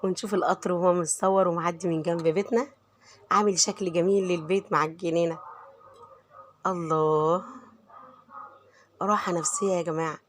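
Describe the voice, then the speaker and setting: Hoarse, chatty Egyptian woman vlogger. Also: fairly low pitch, steady tone, fairly quick, pauses often. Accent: Egyptian Arabic. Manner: warm, chatty, talks straight to viewers. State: hoarse from a cold. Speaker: home vlogger and mother. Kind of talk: chatty voice-over narration of home cooking.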